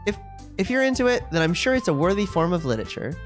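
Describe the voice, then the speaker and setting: A woman's voice: lowish voice, very animated, quick pace, soft background music. Woman playing polite, formal, bookish girl. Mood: apologetic.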